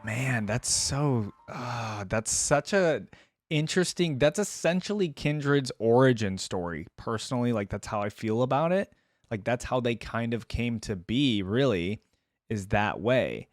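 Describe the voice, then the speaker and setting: A man hosting a reaction video, giving casual, musing commentary in a halting way. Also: mid-range voice, animated, no room sound, clean studio sound. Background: faint music.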